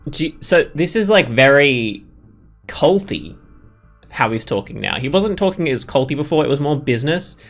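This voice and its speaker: A man's voice: fairly high pitch, animated, moderate pace, a bit bright, faint music behind. Rambling man doing game commentary. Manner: rambling, thinking aloud. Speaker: gaming video host. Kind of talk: casual let's play commentary.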